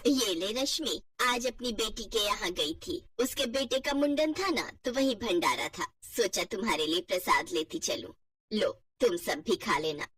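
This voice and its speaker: A woman's voice: medium pitch, animated, moderate pace, fairly bright tone, husky. A woman voicing a friendly, chatty middle-aged neighbourhood auntie in a family cartoon. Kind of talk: Hindi family cartoon voice acting.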